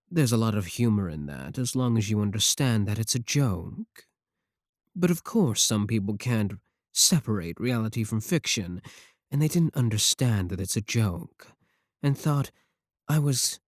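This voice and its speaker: Low-voiced, animated man acting a defensive male streamer. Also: no echo, clean studio sound. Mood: defensive, explaining. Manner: hesitant, defensive, explanatory, with deliberate pauses. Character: male yandere VTuber streamer, falsely innocent and self-justifying. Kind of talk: ASMR roleplay monologue as livestream talk to chat.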